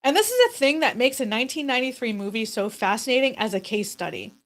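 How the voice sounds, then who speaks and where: A woman narrating a scripted video essay as host, speaking emphatically in short punchy phrases with dramatic pauses. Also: fairly high pitch, lively intonation.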